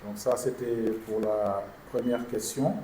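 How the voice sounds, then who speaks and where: A man, an insurance executive, answering journalists' questions at a press conference in a measured, formal way. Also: mid-range voice, fairly even intonation, warm tone. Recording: echoey room with background noise.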